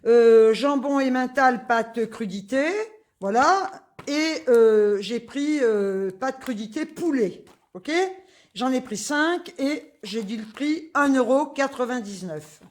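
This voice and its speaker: A woman's voice: on the high side, measured pace. A woman talks through her shopping haul for her viewers. She rambles casually, dropping fillers and asides between prices. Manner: chatty, lots of fillers and asides. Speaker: vlogger. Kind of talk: casual grocery haul vlog.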